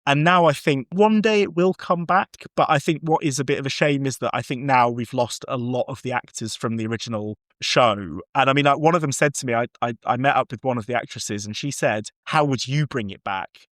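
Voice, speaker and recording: Male podcast guest, mid-pitched, effusive and chatty, giving casual reviews on a dry recording. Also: brisk, hardly any pauses, a bit bright, dry recording. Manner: enthusiastic, effusive.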